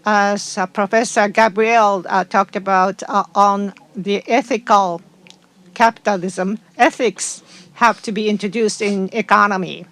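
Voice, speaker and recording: A woman working as a conference interpreter, rendering a speech into English in a halting way with frequent fillers. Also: medium pitch, steady tone, fairly bright tone.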